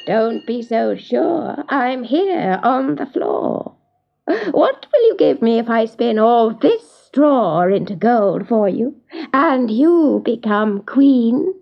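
Woman voicing a high-pitched, sneering little storybook dwarf man. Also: very animated, slow, vocal fry, dull audio, full character voice. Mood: sly bargaining. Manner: sneering, taunting, with cruel laughter. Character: gleeful, cruel little male dwarf, a scheming bargainer. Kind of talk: storybook character voice.

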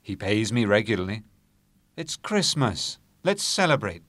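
A gentle, grateful father of a poor family, as a man puts him on. His voice is lively, with plenty of rise and fall, soft and kindly, and dry and close. A storybook reading. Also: lowish voice, unhurried, dry recording, voice pushed up.

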